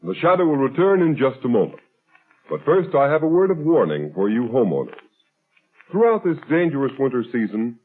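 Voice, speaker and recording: A man's voice: a little high, breathy edge. A male announcer reading a scripted 1930s radio commercial with an emphatic sales delivery. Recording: muffled old radio recording.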